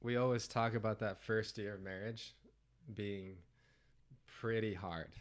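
A man's voice: fairly low pitch, steady tone, unhurried. A man in his thirties, congested today, chatting casually as a podcast guest and laughing often. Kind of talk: casual chat.

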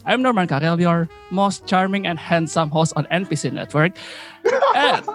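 A man with an Indonesian accent and a soft, imperfect R, hosting a casual podcast in a playful, joking, boastful manner. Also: a little high, animated, unhurried, soft background music. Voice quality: rhotacism, soft R. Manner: playfully boastful, joking, code-switches into English. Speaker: podcast host.

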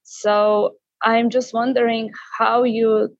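A woman with a Slovenian accent asks a question with hesitant admiration. Her voice is creaky and flat in intonation, captured on dull, dry audio. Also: on the high side, takes it slowly. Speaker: dancer asking a question. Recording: dull, muffled call audio.

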